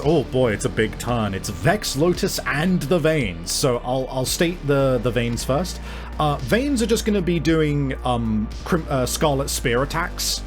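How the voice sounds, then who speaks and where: A man narrating a tabletop roleplaying game as game master, speaking casually and haltingly, with some swearing. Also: mid-range voice. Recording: music playing behind. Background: background music.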